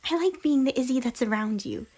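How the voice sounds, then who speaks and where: A woman voicing a chirpy, affectionate young pony in soft, gentle ASMR roleplay, often slipping toward a whisper. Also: high voice, lively intonation, fairly quick. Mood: tender, sincere. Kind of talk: roleplay ASMR character dialogue. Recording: noisy, birdsong and outdoor ambience behind. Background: birdsong and nature ambience.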